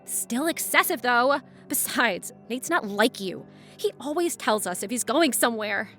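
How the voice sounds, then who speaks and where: An actress playing an anxious, confrontational grown daughter, young, emotional and accusing, in an audio drama. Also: female voice, a little high, expressive, a little slow, a bit bright, faint music behind. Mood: snappy. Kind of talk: modern audio drama acting.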